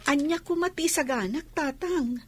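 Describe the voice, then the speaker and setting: Audio drama daughter female actor: young, high-pitched, plaintive, reluctant and protesting. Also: moderate pace, natural voice. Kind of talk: Ilocano audio drama acting.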